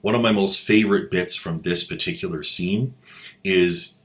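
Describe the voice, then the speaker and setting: A man's voice: low voice, normal speed, a bit bright. A man hosting a video, giving mocking, irreverent commentary on an old audio drama. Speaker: channel host and commentator. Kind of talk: sarcastic commentary.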